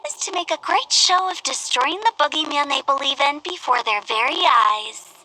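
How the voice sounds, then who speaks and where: A woman's voice: high voice, lively intonation, moderate pace, noisy background. A woman playing a playful, confident young funeral director in an anime-style fantasy game, with a theatrical, knowing manner. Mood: cheeky, knowing.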